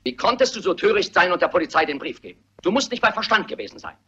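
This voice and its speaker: A rattled rich relative telling people off, high raspy voice, radio drama. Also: male voice, very animated, fast, sharp tone, heavily acted. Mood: angry, scolding. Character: agitated, scolding man of a wealthy family. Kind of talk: German radio mystery play acting. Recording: muffled radio play recording.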